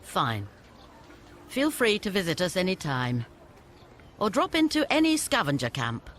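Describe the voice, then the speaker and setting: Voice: medium pitch, huge pitch swings, unhurried, a bit bright, a little hiss or hum. An actor playing a shrewd, condescending scavenger boss making deals in a video game. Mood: businesslike. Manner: condescending, businesslike. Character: brisk, shrewd scavenger boss, sardonic dealmaker.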